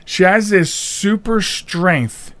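A man's voice: high-pitched, lively intonation, slow, fairly bright tone, dry recording. An older American man hosting a casual podcast, telling things in a rambling, jokey way. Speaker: podcast host.

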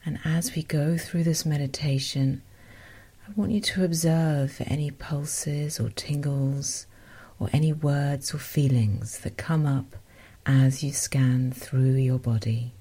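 A woman softly guiding a meditation as host, in a gentle, unhurried, breathy voice that often nears a whisper. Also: low voice, steady tone. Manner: gentle, unhurried, softly spoken with short phrases. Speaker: meditation guide and podcast host. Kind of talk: guided meditation, soothing instruction.

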